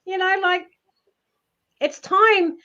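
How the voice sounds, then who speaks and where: A woman with a loud voice, giving emphatic, reassuring life advice in a casual live-stream chat. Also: very high-pitched, slow pace. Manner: emphatic, reassuring, repeats herself. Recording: dull lo-fi stream audio.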